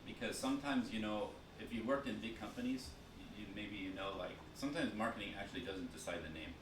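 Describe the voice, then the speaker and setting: A man giving a relaxed marketing talk at a dinner event, full of fillers and restarts. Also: fairly low pitch, fast, noisy background. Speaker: guest speaker on marketing. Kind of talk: casual presentation with Q&A. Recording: echoey room, distant mic, background chatter.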